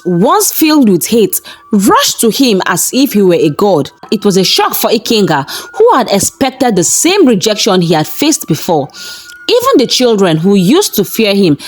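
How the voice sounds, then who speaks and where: A woman storyteller reading a scripted folktale in a measured, dramatic manner. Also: medium pitch. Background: background music.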